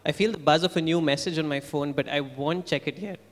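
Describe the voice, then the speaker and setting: A man reads a first-person story aloud at a book event. His voice is fairly high for a man, and the hall leaves a long echo on it. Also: brisk. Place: large indoor event venue. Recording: echoey hall.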